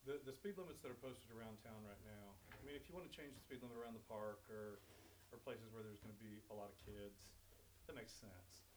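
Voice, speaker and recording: A man's voice: lowish voice, fairly quick, background noise. A man giving a blunt, argumentative public comment at a village meeting, with some restarts. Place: village council meeting room. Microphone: speaking without a mic, picked up by a room mic.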